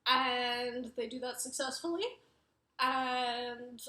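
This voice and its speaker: A young woman with a high voice and a mixed Wisconsin, New York and Arizona accent, talking casually and rambling as she corrects herself. The room around her adds a noticeable echo. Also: completely flat delivery, takes it slowly, bright, forceful tone. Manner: rambling, self-correcting, many fillers. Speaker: book reviewer. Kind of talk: casual chat. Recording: echoey room.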